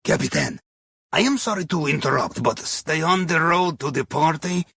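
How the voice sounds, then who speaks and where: A sly Russian ex-navy submariner guiding a crew through heists in a video game. His voice is high for a man and husky, swinging widely in pitch. He talks in a wry, conspiratorial way with a Russian accent. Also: lively intonation, normal speed, a bit bright, no room sound, studio quality, exaggerated voice. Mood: conspiratorial warning. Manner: wry, conspiratorial, with foreign phrasing. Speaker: mission guide over the radio.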